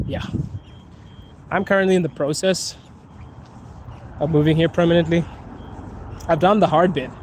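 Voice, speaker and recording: A young man from Zimbabwe hosting a relaxed live Q&A while walking outdoors. He has his sinuses bothered by pollen, laughs often and drags out some words. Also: fairly high pitch, noisy background. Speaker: host. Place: outdoors on a city street.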